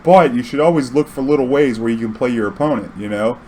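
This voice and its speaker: A man casually telling an anecdote in a slangy, swearing, animated way, acting out bits of dialogue. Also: medium pitch, fast, slight background noise. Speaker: storyteller recounting his own tournament games.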